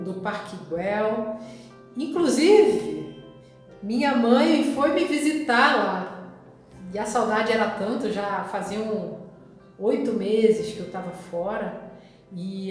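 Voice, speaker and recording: A woman with a Rio de Janeiro Brazilian accent, telling personal travel memories casually and reflectively, often pausing mid-sentence. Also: on the high side. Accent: Rio de Janeiro Brazilian Portuguese. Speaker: vlogger recounting travel memories. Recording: very echoey, reverberant room with music.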